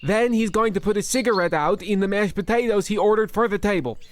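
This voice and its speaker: A man's voice: high-pitched, quick pace, close to an everyday voice. A man voicing a nervous, rambling male expedition cook who insists on repeating his warnings in a comedic radio play. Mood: exasperated.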